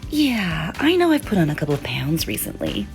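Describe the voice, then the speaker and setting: A woman's voice: fairly low pitch, wildly swooping pitch, moderate pace, creaky, soft background music, heavily acted. A woman voicing a naive young female turkey on a makeover show, chatty and easily alarmed. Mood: worried.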